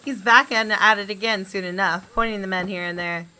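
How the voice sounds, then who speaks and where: A woman reading a visual novel aloud as expressive first-person narration. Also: mid-range voice, a little fast, slight background noise, low-quality recording. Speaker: gaming video host reading aloud.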